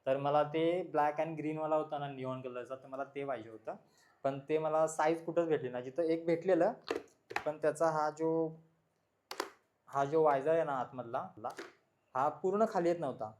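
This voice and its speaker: A man's voice: fairly high pitch, normal speed, a little mellow, slight background noise. A man, speaking as a vlogger. He chats casually to viewers, often repeating himself as he explains what he is showing. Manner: informal, repetitive, explains while showing things. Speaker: vlogger, motorcycle channel host. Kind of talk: casual product showcase chat to viewers.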